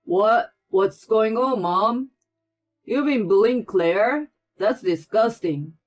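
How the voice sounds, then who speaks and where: An angry, protective husband confronts his mother, stern and loud, as a woman narrator puts him on. The voice stays close to the teller's own pitch, pushed hard with force. Faint music plays under the story. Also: slow.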